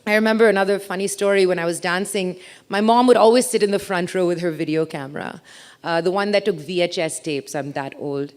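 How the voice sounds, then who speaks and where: A woman's voice: on the low side, a little fast. A woman in her thirties from India, with an English accent mixed from a childhood abroad, giving a chatty, self-mocking talk on stage. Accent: mixed international English, from a childhood abroad. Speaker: speaker. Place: stage talk to a live audience.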